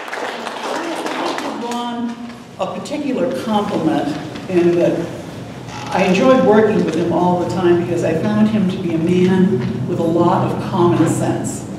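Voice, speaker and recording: A woman's voice: lowish voice, measured pace, crowd noise. Older woman, very echoey room. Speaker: retired college dean. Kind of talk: informal tribute from the audience. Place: lecture room, standing among audience. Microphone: distant camera microphone. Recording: very echoey room, distant, noisy.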